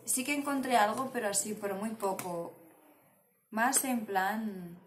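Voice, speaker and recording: A woman's voice: mid-range voice, a little slow. A young woman with a Castilian Spanish accent chatting casually and rambling informally to the camera as a book vlogger. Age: young adult. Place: small indoor room at home. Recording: echoey room, clear background noise.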